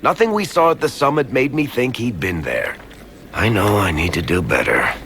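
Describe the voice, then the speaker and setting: A very deep-voiced, wry adventurer. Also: male voice, lively intonation, moderate pace, a bit bright, a little hiss or hum. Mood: defensive, apologetic. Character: roguish, guilt-ridden adult man, fantasy adventurer. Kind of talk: video game dialogue acting.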